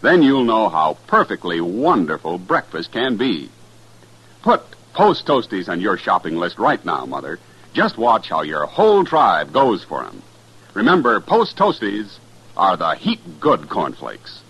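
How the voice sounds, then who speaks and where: An American man announcing and selling in 1950s radio style, emphatic and folksy, with a husky voice. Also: on the low side, wildly swooping pitch, measured pace, a bit bright, poor audio.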